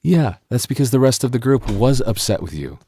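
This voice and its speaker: A man's voice: medium pitch, very animated, brisk, warm tone, breathy edge. A man acting an exasperated young male game master and childhood friend in an audio roleplay, with a hesitant manner. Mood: frustrated, confronting.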